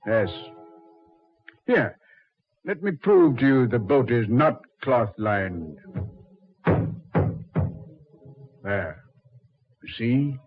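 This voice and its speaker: A man playing a suave, mocking Satan who ferries dead souls, unhurried and sardonically polite, in 1940s radio horror style. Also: mid-range voice, expressive, soft background music. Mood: mocking, smooth. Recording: dull 1940s radio recording.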